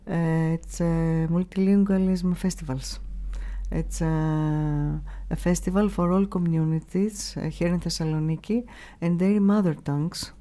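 A middle-aged woman with a Greek accent, giving halting, unscripted interview answers as she searches for words. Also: fairly low pitch, fairly even intonation, mellow. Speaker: radio station staff member, interviewee. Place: small room, radio studio. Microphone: studio mic on boom arm.

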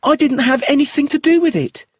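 A man voicing a frightened young widow in an audiobook, talking high, shaky and pleading. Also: lively intonation, brisk, a little mellow, slightly rough, no echo, studio quality. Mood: panicked.

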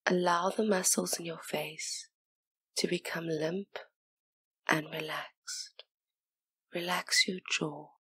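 Woman, guided meditation, soft breathy delivery, creaky edges, gentle and soothing, close dry recording. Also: lowish voice, steady tone, no room sound. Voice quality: soft, breathy, often near whisper. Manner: soothing, gentle, unhurried instruction. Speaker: hypnotist and session guide.

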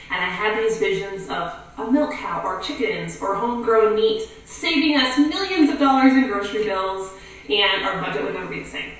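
A woman's voice: medium pitch, fairly bright tone. A woman homesteader talking candidly to camera about her own farm life. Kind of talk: casual talk to camera. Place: living room with leather couch. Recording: roomy, from a distance.

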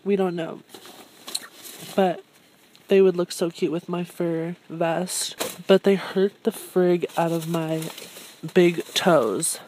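A woman's voice: lowish voice, steady tone, slow pace, pauses often, darkish tone. Bubbly young woman vlogging on a phone. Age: young adult. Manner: bubbly, self-admiring and chatty. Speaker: thrift vlogger. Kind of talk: casual vlog chat. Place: inside a parked car and a thrift store. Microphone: phone camera held at arm's length. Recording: noisy phone recording.

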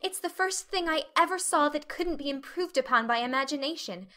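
Storybook orphan girl done by a woman narrator: dreamy, imaginative, gushing, high-pitched and bright. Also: lively intonation, a little fast. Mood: rapturous. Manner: rapturous, effusive. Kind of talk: dramatic audiobook reading.

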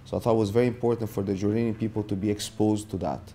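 A man in his forties from Brazil, a jiu-jitsu instructor speaking in a documentary interview in a martial arts gym, halting with many filler sounds. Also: lowish voice, fairly even intonation, dark tone. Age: 40s.

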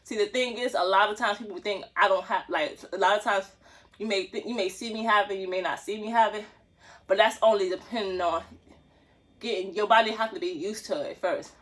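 A woman's voice: mid-range voice, steady tone, a little fast. A woman, chatting casually and jokingly to camera while stretching in a small room, filmed on a phone set on the floor. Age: 20s. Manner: chatty, joking, lots of laughs and slang. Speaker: dance vlogger.

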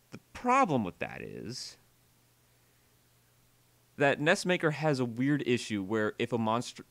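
A man narrating a software tutorial voiceover, halting and often repeating or correcting himself as he explains. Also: medium pitch, no echo.

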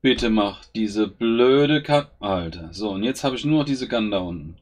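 A man's voice: on the low side, animated, unhurried. A man giving casual gameplay commentary, grumbling and exasperated as he swears at his own units. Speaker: gaming YouTuber.

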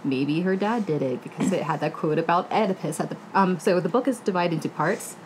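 Female book club panelist, thirties, low-leaning voice, informal and slangy, light background noise. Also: a little fast, poor audio. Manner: slangy, animated retelling with acted-out quotes. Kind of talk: casual chat. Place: living room, indoors.

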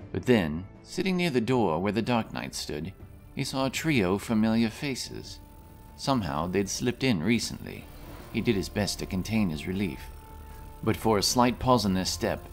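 A man's voice: low voice, sing-song, moderate pace, soft background music. A man reading a scripted fantasy story as an audiobook narrator.

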